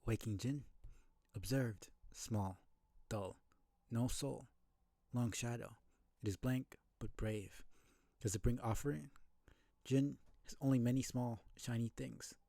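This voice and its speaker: A man voicing a small, meek, strange creature trader in a video game, speaking in clipped, broken phrases with a breathy edge. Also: medium pitch, slow, warm tone, no room sound. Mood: cryptic, appraising.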